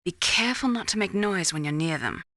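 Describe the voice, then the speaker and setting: Female mission support for a game: earnest, explanatory, clear-cut briefing, bright tone, dry studio sound. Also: fairly low pitch, expressive, fairly quick, no echo. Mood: informative. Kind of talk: scripted video game radio briefing.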